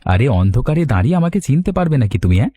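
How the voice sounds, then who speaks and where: A man acts a boastful, sly village rogue in an audio story. His voice is husky and mellow, swinging widely in pitch. He cajoles with a swagger. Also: sing-song, racing. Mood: sly, menacing.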